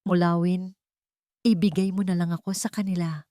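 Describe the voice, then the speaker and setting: A woman's voice: fairly low pitch, steady tone, moderate pace, mellow, no room sound, studio quality, close to an everyday voice. A woman playing a frail, frightened old mother, pleading and bitter, in an audio drama.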